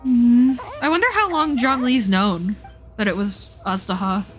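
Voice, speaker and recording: A woman live-streaming a game with casual, expressive, sweary commentary full of exclamations. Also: fairly high pitch, unhurried, faint music behind. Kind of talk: casual reactive gameplay commentary.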